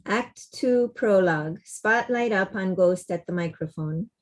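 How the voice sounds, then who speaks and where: A woman's voice: mid-range voice, a little slow. A woman reading scripted stage directions as narrator of a table read over a video call.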